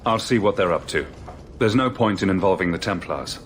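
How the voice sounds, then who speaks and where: A man's voice: deep voice, lively intonation, fairly quick, slight background noise. A man playing a bold, blunt heroic champion in a video game drama, with a direct way of talking. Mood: steady, direct. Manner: direct, questioning. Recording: loud music behind the voice.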